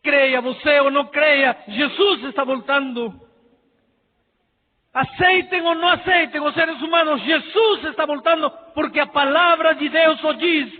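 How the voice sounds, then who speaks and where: A middle-aged man from Peru, a pastor with a Peruvian Spanish accent, preaching an impassioned sermon that swings from soft pleading to near shouting. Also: very high voice, unhurried. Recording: tinny lo-fi sound in a reverberant hall.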